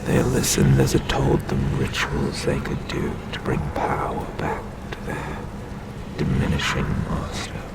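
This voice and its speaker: A man voicing an ancient, sinister eldritch god in an ASMR roleplay, telling a story in a breathy, creaky voice that often slips into a whisper, with deliberate menace and long pauses. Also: very deep voice, very animated, heavy background noise, exaggerated voice. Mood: ominous, patient.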